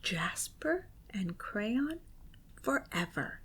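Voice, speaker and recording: A woman voicing a creepy, possessive crayon come to life in a storybook, slow, menacing and commanding. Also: mid-range voice, very animated, a bit bright, husky, exaggerated voice. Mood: sinister whisper.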